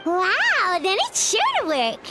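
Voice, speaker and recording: A tiny game fairy, with an extremely high, childlike voice that swoops about. The delivery is cheerful, eager and gushing. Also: female voice, huge pitch swings, slow pace, loud music behind the voice, full character voice. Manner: talks about self in third person, gushing. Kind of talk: animated game dialogue.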